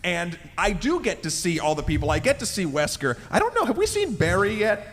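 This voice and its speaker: A man co-hosting a live podcast in a playful, excitable, stop-and-start way, recorded in a convention hall. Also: sits high, a little fast. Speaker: podcast co-host. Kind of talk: casual live podcast banter. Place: large indoor convention panel room with live audience. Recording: echoey convention hall, clear background noise.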